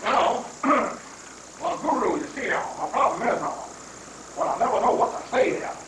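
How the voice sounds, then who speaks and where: A man's voice: very high-pitched, very animated, moderate pace, fairly bright tone, heavily acted. A man playing an anxious, bumbling propaganda minister with a hesitant, fretful delivery in an amateur reading of a stage satire. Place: room at a live library reading. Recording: echoey, distant room recording with faint noise.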